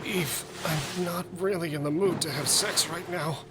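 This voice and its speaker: A man's voice: on the high side, a little slow, over loud music, close to an everyday voice. A man playing a tired, bruised, anxious husband in a horror audio drama, with a worn-down, pleading manner. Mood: weary.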